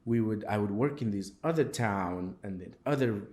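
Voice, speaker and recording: A man's voice: on the low side, normal speed. A man from Moldova who owns a coffee shop, talking casually in an interview and acting out the people he quotes. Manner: animated, acts out quoted people.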